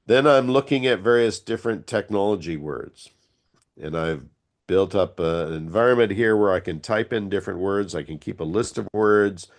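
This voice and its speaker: Deep-voiced man, slightly stuffed up. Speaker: workshop instructor. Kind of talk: informal online tutorial, explaining a software demo. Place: video call.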